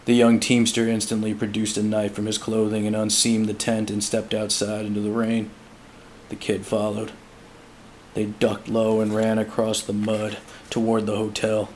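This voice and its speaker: A man giving a dramatic reading of literary fiction in a measured, solemn storytelling manner. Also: lowish voice, monotone, darkish tone, a little hiss or hum, poor audio. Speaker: amateur audiobook reader.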